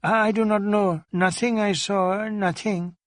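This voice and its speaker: Man acting a high-pitched, obstinate, weary newspaper vendor. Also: steady tone, slow pace, fairly bright tone, no echo. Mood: panicked. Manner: evasive, terse, grudging. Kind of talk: audiobook character dialogue.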